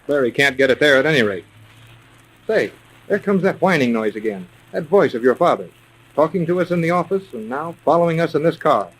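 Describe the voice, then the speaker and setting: Cub private eye, 30s radio, talks really fast, slangy, full of questions. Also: male voice, fairly high pitch, animated, poor audio. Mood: puzzled, impatient. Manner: slangy, inquisitive. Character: eager, puzzled young male detective sidekick. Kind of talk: 1930s radio serial acting.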